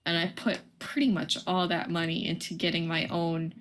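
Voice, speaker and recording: A young woman with a slightly low voice chats informally. She sounds like a co-host on a casual stream, agreeable and conversational in how she responds and tells her own stories. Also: level tone, normal speed, fairly bright tone, poor audio. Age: young adult. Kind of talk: casual chat.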